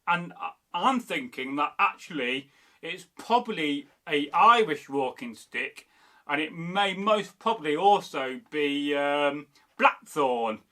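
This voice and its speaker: A man's voice: fairly high pitch, lively intonation, slow pace, pushed and bright. A man from Derby, chatting loosely as a history channel host, reading viewer comments and rambling with lots of fillers. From Derby, England. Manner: rambling, meandering, many fillers and restarts.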